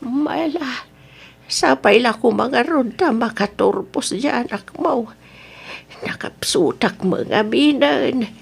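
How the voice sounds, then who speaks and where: A woman acting elderly Ilocano mother, high raspy voice, worn-out, pleading, slow, radio drama. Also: animated, heavily acted. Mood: weary worry. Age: older. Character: frail, worn-out elderly mother of a farmer son.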